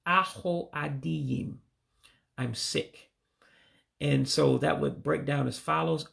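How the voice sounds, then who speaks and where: A man, a native English speaker, slowly and carefully teaching greeting phrases he is still learning, sounding them out and correcting himself. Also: mid-range voice, creaky. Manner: slow, careful, tentative pronunciation with self-corrections. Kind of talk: self-taught language lesson.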